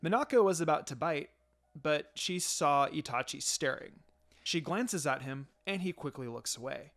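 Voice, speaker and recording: Higher-pitched man narrating over music. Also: expressive, normal speed, a bit bright. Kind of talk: scripted fanfiction story narration. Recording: music behind the voice.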